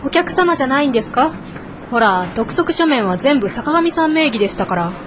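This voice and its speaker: A woman playing a brisk, conscientious, scolding office colleague in a radio drama. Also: high-pitched, lively intonation, noisy background. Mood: stern, businesslike. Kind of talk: naturalistic radio drama acting.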